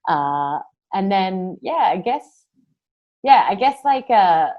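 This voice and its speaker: A woman's voice: mid-range voice, sing-song, slow pace, highs cut off. A woman in her thirties from New Zealand and Australia, talking as an interview guest in a rambling way, with many fillers and restarts. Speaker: guest, singer-songwriter. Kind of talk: casual interview conversation.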